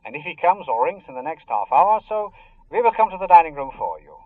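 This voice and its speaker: Formal, helpful receptionist, thin dry phone sound, animated intonation, radio drama. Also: male voice, fairly high pitch, quick pace, dry recording. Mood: courteous. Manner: polite, formal. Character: courteous hotel receptionist on the telephone. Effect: telephone filter. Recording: tinny telephone line.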